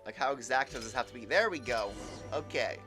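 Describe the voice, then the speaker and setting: A man's voice: medium pitch, expressive, fairly quick, over music. A man giving casual live commentary as a game streamer, often stammering and repeating words as he thinks aloud.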